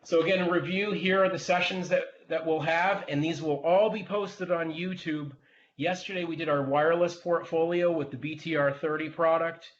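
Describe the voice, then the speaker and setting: A man hosting a webinar presentation in a measured, businesslike way. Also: a little high, steady tone, highs cut off.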